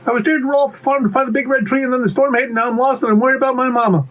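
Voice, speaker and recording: A man voicing a small, naive young pea who is lost and earnest, with a worried, excitable childlike manner, in a storybook read-aloud. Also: extremely high pitch, very fast, phone-quality sound, pitched above the performer's own voice. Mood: worried, pleading.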